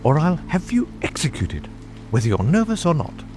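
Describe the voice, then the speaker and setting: Man acting pompous irritable king, sharp commands, extremely animated pitch, storybook judge, clear music behind. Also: normal speed. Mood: angry. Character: imperious, irritable king presiding as judge, threatening. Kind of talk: dramatized audiobook.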